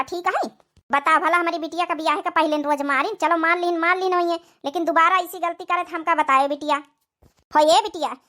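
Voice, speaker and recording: A woman's voice: very high-pitched, sharp tone. A woman voicing a scheming, possessive mother of a bride in a comedy cartoon, giving conspiratorial advice with an Awadhi accent. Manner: conspiratorial, advising.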